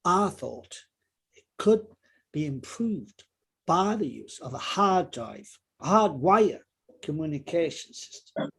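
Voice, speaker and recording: A man's voice: a little high, sing-song, slow pace, vocal fry, a bit bright, slightly rough, full character voice. A man playing a terse, guarded riverboat captain under questioning in a courtroom drama table read, giving clipped, unyielding answers.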